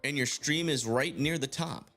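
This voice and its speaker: A man's voice: medium pitch, moderate pace, fairly bright tone, soft background music. A man hosting a casual weather livestream, thinking aloud with many fillers as he checks an app. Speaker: livestream host.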